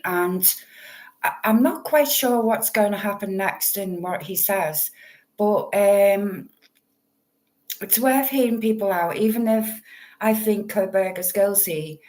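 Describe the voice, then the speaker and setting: A woman, hosting a casual true crime livestream, rambling and apologetic as she fiddles with her setup. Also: a little mellow. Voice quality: low voice. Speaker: true crime channel host.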